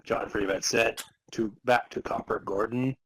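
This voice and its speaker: Husky man narrating with halting delivery. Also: lowish voice, fairly even intonation, a bit bright, no room sound. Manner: halting, amused delivery of broken sentences. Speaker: podcast narrator and co-host. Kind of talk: comic dramatic reading of fan fiction, with casual asides.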